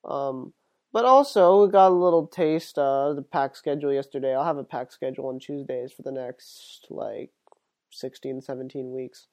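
A man's voice: mid-range voice, moderate pace, a little mellow. Sniffly, under-the-weather male podcaster. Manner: rambling, full of uh and um fillers and restarts. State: sick, sniffly and congested. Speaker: podcast host. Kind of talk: casual solo sports podcast talk.

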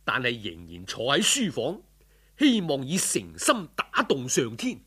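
A male storyteller with a Cantonese accent tells a folk tale dramatically. His husky voice moves at an unhurried pace, with widely swinging intonation. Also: mid-range voice, wildly swooping pitch, fairly bright tone.

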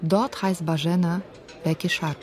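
A woman's voice: on the low side, steady tone, a little slow. A woman narrating a scripted radio documentary feature.

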